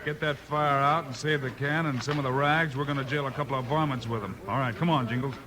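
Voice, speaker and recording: A man's voice: medium pitch, fairly even intonation, fast talker, natural voice. A man playing a shrewd, cool-headed frontier marshal with an American accent in a 1950s radio western. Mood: firm. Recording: very noisy vintage radio recording.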